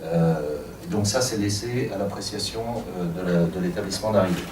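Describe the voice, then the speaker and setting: A man's voice: sits low, a little fast. A man presenting a technical workshop to a room of attendees, explaining step by step with frequent fillers and light asides. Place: conference room. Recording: echoey room from far off, audible noise.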